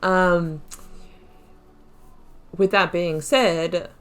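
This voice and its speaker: A woman with a low-pitched voice narrates her own game playthrough. She is casual and chatty, musing out loud as she works through her thoughts. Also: fairly even intonation, extremely slow, smooth. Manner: chatty, musing, thinking aloud. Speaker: gaming YouTuber. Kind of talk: casual let's play commentary.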